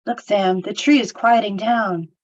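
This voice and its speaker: A woman's voice: mid-range voice, steady tone, measured pace, lo-fi sound, close to an everyday voice. A woman playing a thoughtful, cautious young hobbit in a script table read, earnest and questioning.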